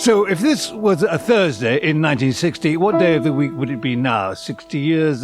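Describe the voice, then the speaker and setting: An actor playing a besotted, sentimental man in an audio drama, halting and musing, with breathy exclamations. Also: on the high side, natural voice. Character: besotted sentimental man, aged twenty and reminiscing at eighty.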